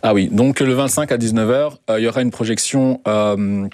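A young man speaks as a radio interview guest. His voice sits at a medium pitch and is recorded dry and close. He talks conversationally, often hesitating and repeating words as he feels his way to a phrase. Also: normal speed, a little mellow, no room sound. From Belgium. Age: young adult. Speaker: interview guest, documentary maker.

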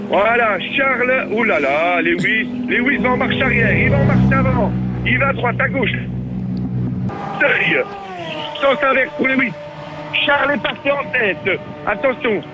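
A man, a radio reporter speaking live in an excitable, playful, exclamatory way. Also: very high voice, muffled recording, noisy background. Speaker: field reporter on a radio show. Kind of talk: excited mock race commentary and live chat.

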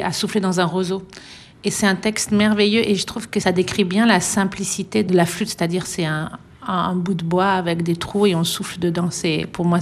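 A middle-aged woman violinist being interviewed, speaking thoughtfully with many pauses and light laughs. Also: medium pitch. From France. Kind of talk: relaxed radio interview.

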